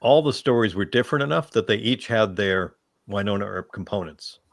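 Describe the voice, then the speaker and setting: A man speaking as a fan panelist, giving his opinions in a measured, conversational discussion style. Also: on the low side, expressive, creaky, no echo.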